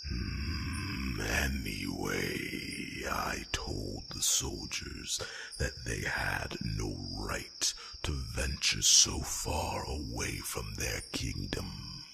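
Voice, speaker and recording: A man voicing an ancient, courteous, proud dragon in a fantasy ASMR roleplay, speaking formally in a gravelly, breathy near-whisper with rumbling hums. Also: exaggerated voice. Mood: haughty, grave. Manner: slow, formal, drawn-out phrasing with rumbling hums. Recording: noisy track with loud nature ambience.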